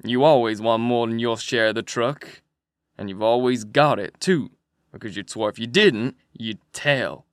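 A man's voice: mid-range voice, animated, unhurried, a bit bright, no echo, studio quality. A man voicing an angry, violent male robber who threatens a bound captive. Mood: menacing.

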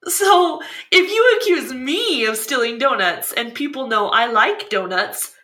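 Woman acting a bubbly host, high lively voice, bright, inquisitive. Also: exaggerated voice. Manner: inquisitive, bubbly, quick questions. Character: curious, playful young woman show host. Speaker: podcast host. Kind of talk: scripted podcast drama dialogue.

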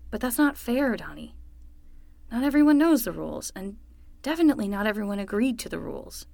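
A woman's voice: mid-range voice, big pitch swings, fairly quick, creaky, darkish tone, no room sound. A woman playing a young summer nanny, patient and wry, talking casually and frankly in a naturalistic fiction podcast.

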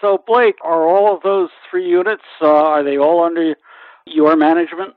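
A man's voice: on the high side, fairly even intonation, moderate pace, no echo. Man, interview host, dry close sound, narrow phone-like band, conversational. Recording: thin, phone-like radio sound.

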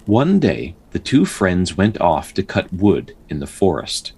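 A man hosting a storytelling show, narrating folktales in a measured, dramatic way with casual asides and a husky voice. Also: low-pitched, huge pitch swings, a little mellow. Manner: measured, pausing delivery when telling the tale.

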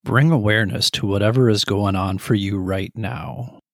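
A middle-aged man leads a guided meditation in a low voice with a noticeable creak. He speaks gently and calmly, giving measured instructions phrase by phrase. The sound is dry, with very little room on the voice. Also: no echo.

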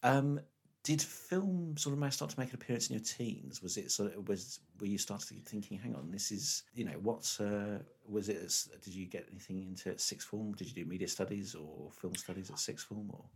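A man's voice: on the low side, moderate pace, husky. A man hosting a casual interview, playful and jokey, with lots of fillers and hesitations. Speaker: host.

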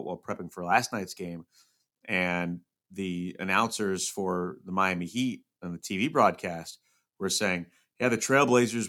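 A man's voice: deep voice, a bit bright. A man hosting a sports podcast, giving conversational commentary on games and teams.

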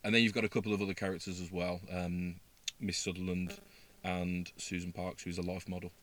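A man with a Birmingham accent speaks in a very low voice. He talks casually about playing a role on stage, pausing with ums and restarting his sentences often. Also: little pitch movement, a bit bright. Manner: hesitant, many fillers and restarts. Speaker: actor in a stage play. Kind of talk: casual interview chat.

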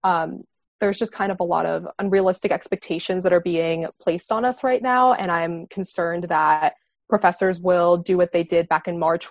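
A woman of about twenty from the Boston area, speaking as a student guest on a panel. She is direct and quick, with a few restarts along the way. Her voice sits on the low side, and the recording sounds dry and close. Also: vocal fry, dry recording. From Boston area, USA. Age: around 20. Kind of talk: discussion on a panel.

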